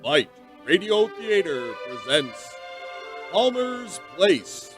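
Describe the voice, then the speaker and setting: Theatrical radio announcer, highish male voice. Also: big pitch swings, drawn-out words, a bit bright. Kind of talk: old-time radio show announcing. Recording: music under the announcement.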